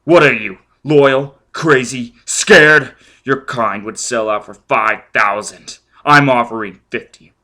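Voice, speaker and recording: A man's voice: fairly low pitch, measured pace, fairly bright tone. A man voicing a ruthless, vengeful man who barks demands and threats. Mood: shouting fury. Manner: menacing, barking demands. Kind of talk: audiobook character voice.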